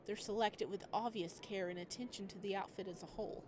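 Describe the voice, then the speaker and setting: Woman narrating a story game, mid pitch, faint hiss. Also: steady tone. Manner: reads narration, adds quick joking asides. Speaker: game streamer. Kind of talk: reading a visual novel aloud with commentary.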